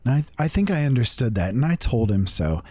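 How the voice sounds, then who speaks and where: An actor playing a sombre, sympathetic man who gravely narrates a fantasy tale in a measured, reflective way. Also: medium pitch, big pitch swings, darkish tone, dry recording. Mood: sympathetic, wistful. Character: sombre, sympathetic male listener retelling a companion's confession. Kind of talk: reflective first-person fantasy storytelling.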